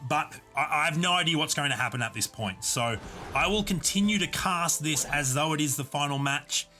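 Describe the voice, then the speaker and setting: A man calls a live online game tournament on his stream, talking through the action in a steady, explanatory way. His voice sits a little high and sounds bright and forceful on a desk condenser mic. Faint game music plays underneath. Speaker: esports caster and streamer. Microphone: desk USB condenser mic.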